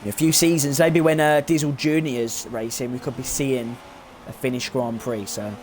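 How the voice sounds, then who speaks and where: A man in the commentary seat of an online racing league, with a voice of middling pitch. He chats loosely and hesitantly, stopping to correct himself. A faint hiss of noise sits under his voice. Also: fairly even intonation, normal speed, a little mellow. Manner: hesitant, filler-heavy, self-correcting. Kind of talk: live race commentary chat.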